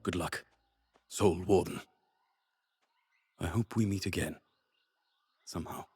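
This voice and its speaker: A man playing a solemn, regretful ancient wizard in a fantasy game cutscene, speaking in a grave, measured manner. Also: deep voice, steady tone, mellow, a little hiss or hum. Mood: resigned, parting.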